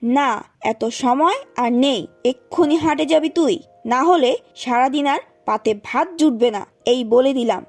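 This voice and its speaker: A woman voicing a bossy, sharp-tongued, scheming aunt and mother in a Bengali cartoon. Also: high-pitched, moderate pace, no room sound. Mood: scolding. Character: shrill, scolding, scheming aunt and doting mother. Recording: music behind the voice.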